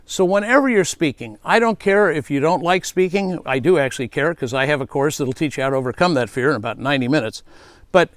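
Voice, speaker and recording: A man in his fifties, a safety speaker, giving an energetic, emphatic speaking tip and repeating his key rule. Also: on the high side, fast talker, dry recording. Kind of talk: motivational speaking tip to camera.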